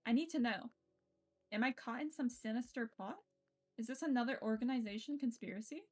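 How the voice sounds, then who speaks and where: A woman streamer reading visual novel narration aloud in an expressive way, with casual, sweary reactions. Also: on the high side, a little fast. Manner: expressive, self-interrupting, swears freely. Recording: game music behind the voice. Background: game music.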